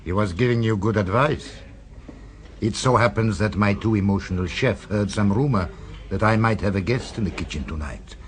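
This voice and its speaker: An actor playing a suave, sinister restaurant owner. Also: male voice, fairly low pitch, lightly acted. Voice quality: smooth, measured and formal. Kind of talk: 1970s radio drama acting. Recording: old radio recording with faint hiss.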